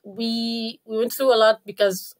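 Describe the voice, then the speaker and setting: A woman from Iraq, a podcast guest, talking casually and haltingly with many fillers and restarts. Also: a little high, flat delivery. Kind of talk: casual interview talk.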